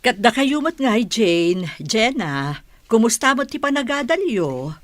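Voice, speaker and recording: A woman's voice: a little high, big pitch swings, unhurried, dry recording. A woman acting a concerned, wistful middle-aged mother in an audio drama. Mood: friendly.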